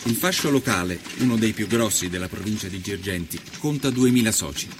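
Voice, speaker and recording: An actor playing an observant, composed newspaper journalist, narrating a scripted historical documentary. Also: male voice, on the low side, lively intonation, fairly quick, noisy background, close to an everyday voice.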